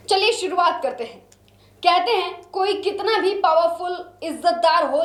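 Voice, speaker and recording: A woman with a high voice reads the news as a presenter. Her delivery is scripted and dramatic, with emphatic, solemn phrasing. The recording sounds roomy and echoey.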